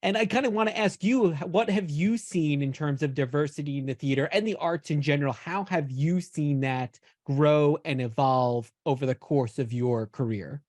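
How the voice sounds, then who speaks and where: A woman interviewing a guest, asking friendly, measured questions. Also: low voice, a little mellow, no room sound, studio quality.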